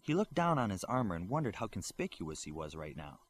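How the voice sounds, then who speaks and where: A man's voice: fairly low pitch, big pitch swings, brisk, slight background noise. A man reading a scripted science fiction story aloud as an audiobook narrator. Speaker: audiobook reader.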